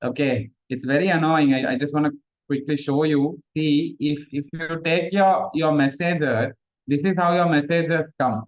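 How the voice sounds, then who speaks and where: A man's voice: fairly high pitch, steady tone, normal speed, dull audio. A man, a Tamil speaker, giving a casual online revision class, chatty and self-mocking. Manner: chatty, mixes in Sinhala, laughs at himself. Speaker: tutor. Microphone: video call.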